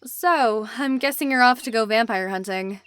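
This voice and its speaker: A woman's voice: a little high, moderate pace, fairly bright tone, clear, resonant tone, close to an everyday voice. A woman playing a protective young supernatural woman who keeps secrets, earnest and pleading, in amateur roleplay acting. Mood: serious, concerned.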